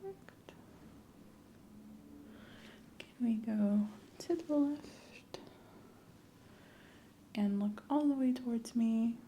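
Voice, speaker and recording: Calm, attentive female clinician, played by a woman for ASMR medical roleplay; soft, mellow, measured delivery in a roomy space. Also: a little high, slight background noise. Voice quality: soft, often whispery. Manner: gentle, measured step-by-step instructions. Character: calm, attentive female doctor giving an exam. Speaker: ASMR performer playing a clinician.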